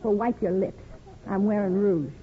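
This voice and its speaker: A woman's voice: medium pitch, moderate pace, lightly acted. An actress playing a spirited, affectionate young wife and mother in a 1950s radio drama. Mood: indignant. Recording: tinny old radio recording, music behind.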